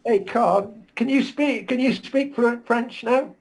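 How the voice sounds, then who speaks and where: A man's voice: very high-pitched, a little slow, gravelly, husky. An older man chatting casually and putting questions to a friend in a group call. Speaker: chat participant asking questions.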